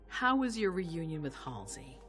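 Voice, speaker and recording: An actress playing a composed, calculating vampire ruler in a video game cutscene, measured and authoritative. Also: female voice, lowish voice, very animated, a bit bright. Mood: gracious. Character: commanding vampire prince woman, composed, calculating, regal. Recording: faint music behind.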